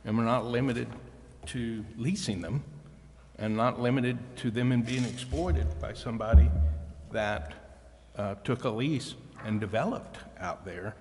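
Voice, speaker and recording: A man making his point in a measured, plain-spoken way as a council member at a public meeting. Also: medium pitch, darkish tone. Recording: reverberant hall, faint background noise.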